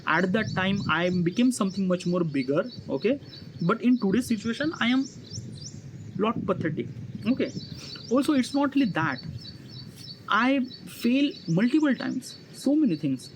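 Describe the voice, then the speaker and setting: An Indian-accented man in his early thirties speaks off the cuff to his viewers in a fairly high voice. His delivery is halting and circles back on itself, padded with repeated filler phrases. Birdsong and faint ambient noise sit behind him. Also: big pitch swings. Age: early 30s. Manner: halting, repetitive, filler-heavy with 'kind of like'. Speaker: vlogger. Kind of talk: unscripted personal monologue to viewers. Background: chirping birds, ambient noise.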